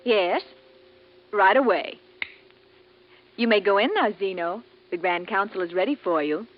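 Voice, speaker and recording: An actress playing a brisk Martian council receptionist in old radio drama style. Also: female voice, mid-range voice, animated, natural voice. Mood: brisk, official. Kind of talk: 1950s radio drama acting. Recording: muffled old radio with faint noise.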